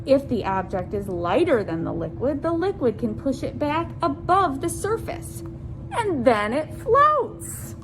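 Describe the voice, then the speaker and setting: A woman presenting a children's science storytime, reading aloud deliberately and speaking to young listeners as friends with simple questions. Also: high voice, sing-song, noisy background.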